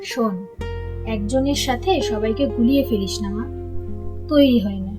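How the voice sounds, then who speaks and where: A woman's voice: fairly high pitch, measured pace, over music, close to an everyday voice. A woman playing a kindly, coaxing middle-aged mother in an audio drama. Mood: reassuring.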